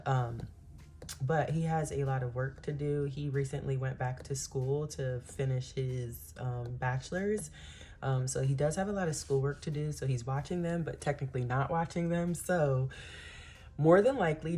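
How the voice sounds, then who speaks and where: A woman's voice: extremely low pitch, mellow. A woman in her thirties hosting a planning channel, chatting casually with many ums while she works, a little stuffy from a cold. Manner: chatty, many ums, Southern-style "y'all".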